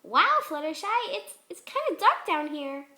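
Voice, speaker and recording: A woman voicing an excitable, gushing, bubbly young female party pony from a cartoon fan story. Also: high voice, normal speed, voice pushed up, heavily acted. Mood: awed.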